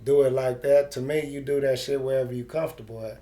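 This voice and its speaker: A man's voice: mid-range voice, fairly even intonation, quick pace, mellow. A middle-aged man, interviewing in a casual, slangy and profane street-talk style. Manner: informal, slang-heavy, profane.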